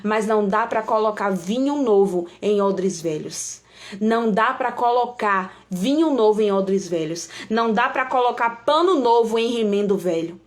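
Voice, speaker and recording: A woman's voice: mid-range voice, unhurried. A woman preacher with a Brazilian accent, giving devotional preaching and prayer in an emphatic, repetitive way, often calling on God.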